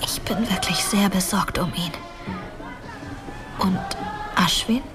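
Actress as a very slow, musing young diarist. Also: female voice, mid-range voice, close to an everyday voice. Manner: halting, musing, partly whispered. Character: reflective young woman dictating her private diary. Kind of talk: radio play monologue, diary entry. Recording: music under the voice.

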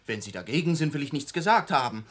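An actor playing a worldly, cynical journalist in a German radio drama, urbane, eloquent and teasing. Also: male voice, a little high, expressive, quick pace, fairly bright tone. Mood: persuasive. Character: suave, mocking male journalist, worldly and cynical man-about-town.

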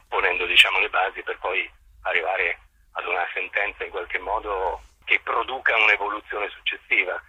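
A man giving a radio interview as a theatre director, speaking in a measured, halting way with elaborate, formal phrasing. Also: fairly low pitch, bright, forceful tone, breathy edge, dry recording, low-quality recording. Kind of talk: radio interview, explanatory talk.

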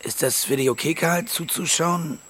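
An actor playing a crook's streetwise, lecturing sidekick in a radio play, with a rough voice. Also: male voice, fairly high pitch, normal speed, fairly bright tone. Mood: cautioning. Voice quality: very husky, rough.

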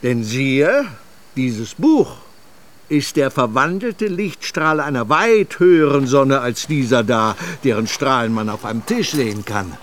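An actor playing a bookish, philosophical village apothecary in a radio play, speaking deliberately and savouring his phrases. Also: mid-range voice, lively intonation, slight background noise, natural voice. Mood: reverent, expansive. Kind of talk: radio play acting with storytelling.

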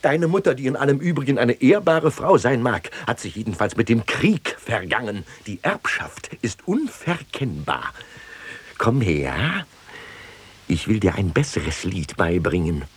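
A blustering old winegrower, wildly animated. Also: male voice, mid-range voice, measured pace, breathy edge. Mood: scolding. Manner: theatrical declamation, blustering then coaxing. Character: earthy, jovial old winegrower and father, bossy. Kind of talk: 1960s radio play acting.

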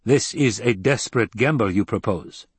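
A bearded desert planetologist, blunt and wary, who answers in curt, clipped words, as a male narrator puts him on. The voice is husky and dry, set close to the microphone. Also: on the low side, moderate pace, dry recording. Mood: guarded. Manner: curt, terse replies.

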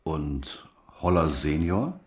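Detective, super low voice, terse probing questions, roomy echo, bit of noise, radio drama. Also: male voice, expressive, slow, a little mellow, full character voice. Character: brisk, probing male police investigator.

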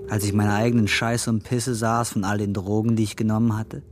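An actor playing a fanatical born-again ex-convict in a radio drama, preachy and menacing. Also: male voice, lowish voice, flat delivery, a little fast, music in the background, natural voice. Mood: fervent.

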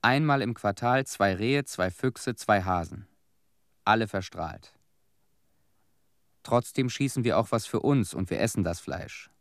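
Low-voiced blunt hunter. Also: male voice, a little slow, pauses often, no room sound, studio quality. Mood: blunt, defiant. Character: blunt male hunter living off contaminated land. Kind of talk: radio play monologue as witness testimony.

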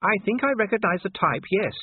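A man voicing a firm, commanding aristocratic wife in an audiobook, brisk and imperious. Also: sits high, very animated, breathy edge, no echo, phone-quality sound, voice pushed up, full character voice. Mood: brisk, exasperated.

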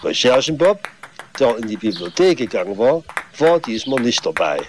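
A man's voice: medium pitch, sing-song, moderate pace, noisy background. A man giving scripted, tongue-in-cheek storytelling narration for an audio drama.

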